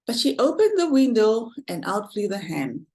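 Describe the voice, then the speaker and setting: A woman with a Kenyan accent reading a picture book aloud to children, with expressive exclamations. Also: mid-range voice, normal speed. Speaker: storytime host.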